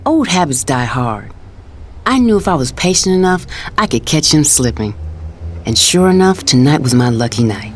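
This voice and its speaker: A woman playing a calculating, vengeful middle-aged mother, narrating a drama in the first person with deliberate storytelling. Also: low voice, very animated, slight background noise.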